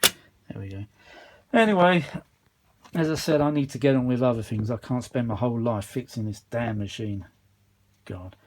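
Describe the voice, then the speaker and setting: A man with a mid-pitched voice chats casually as he works. He rambles without a script, grumbling in a mildly weary way, like a hobbyist repair vlogger talking through a job. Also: normal speed, pauses often, slight background noise. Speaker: vintage audio repair vlogger. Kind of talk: casual unscripted workshop chat.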